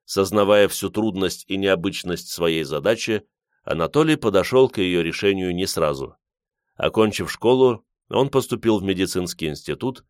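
A man with a low voice reads literary prose as an audiobook narrator. The recording is close and dry, and the delivery is scripted first-person storytelling. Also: no room sound.

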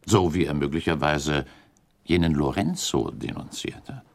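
An actor playing a shrewd, calculating count in a radio drama, speaking in a deliberate, formal and pointed way. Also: male voice, very deep voice, lively intonation, exaggerated voice. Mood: insinuating. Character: shrewd, calculating count advising a prince, diplomatic. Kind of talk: German radio drama acting.

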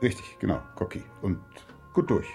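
An actor playing a naive, uncouth junior detective in a radio crime comedy, colloquial, hesitant and clumsily chummy. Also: male voice, low voice, big pitch swings, normal speed, vocal fry, warm tone, faint music behind, full character voice. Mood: flustered, chummy. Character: naive, uncouth male junior detective, good-natured and bumbling.

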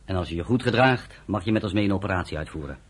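An actor playing a firm, authoritative underground commander in a radio drama. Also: male voice, sits low, lively intonation, very fast, husky. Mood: firm, measured.

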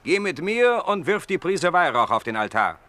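A man acting in a radio play as a gruff, cynical Roman gladiator boss, brusque and scornful. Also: a little high, sing-song, moderate pace, pushed and bright. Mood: coaxing.

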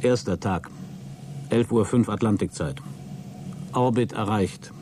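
A man's voice: lowish voice, a little slow, warm tone, slight background noise, lightly acted. An actor playing a wry male astronaut in a radio play, giving clipped, matter-of-fact flight reports.

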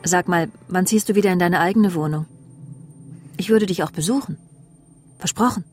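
A woman's voice: fairly low pitch, normal speed, a little mellow, faint music behind, lightly acted. An actress playing a possessive, jealous woman in a radio crime drama, pointed and confiding. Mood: cool, conversational. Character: possessive, jealous, needling woman recounting a feud. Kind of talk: German radio crime drama, dialogue and confessional narration.

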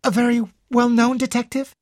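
A woman's voice: fairly high pitch, level tone, a little fast, darkish tone, dry recording, studio quality, pitched above the performer's own voice, natural voice. A woman narrator voicing an intense, fearful and proud young woman in an audiobook. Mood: anxious, intent. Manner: intense, indignant, secretive. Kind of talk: audiobook character dialogue.

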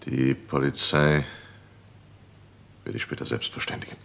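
A grave, guilt-ridden gentleman in a vintage radio crime play. His voice is extremely deep and rough with creak. He speaks in formal, measured phrases, with desperate pride. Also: fairly even intonation, exaggerated voice. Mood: restrained, polite.

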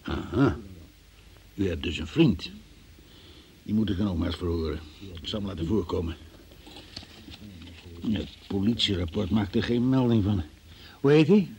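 An actor playing a stern magistrate in a radio drama, questioning a suspect in an insistent, patronising and sceptical manner. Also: male voice, fairly low pitch, normal speed, pauses often, a little mellow, a little hiss or hum, natural voice.

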